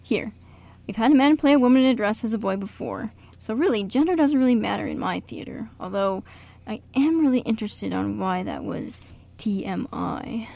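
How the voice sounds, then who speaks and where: A woman playing an excitable, old-fashioned male theater director in an amateur radio play. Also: a little high, normal speed, gravelly. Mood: amused.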